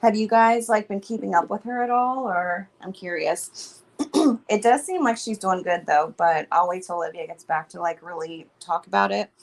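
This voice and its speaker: A woman co-hosting a casual livestream chat, her voice a little raspy, talking easily with fillers. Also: mid-range voice, normal speed. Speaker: co-host.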